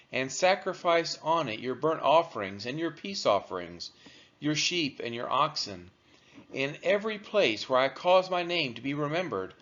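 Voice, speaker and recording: A man reading scripture aloud as a narrator for a church read-along, in measured, deliberate phrasing. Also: on the high side, fairly bright tone. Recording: dull, lo-fi recording.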